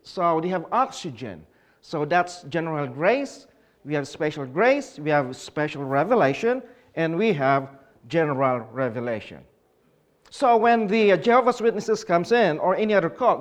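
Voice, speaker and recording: Filipino-born man giving a church talk. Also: high voice. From Philippines. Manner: explanatory, asks the audience questions. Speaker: conference speaker. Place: conference hall.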